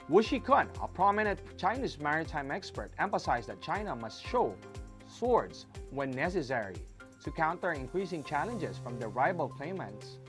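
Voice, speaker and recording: Newsreader with lively, rising delivery. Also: mid-range voice. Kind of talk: scripted news narration.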